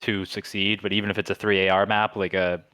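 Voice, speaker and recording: A man's voice: low-pitched, little pitch movement, fast, bright, forceful tone, dry recording, studio quality. A man co-hosting a podcast, chatting casually and analyzing the game with lots of "like" and "you know". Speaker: podcast co-host.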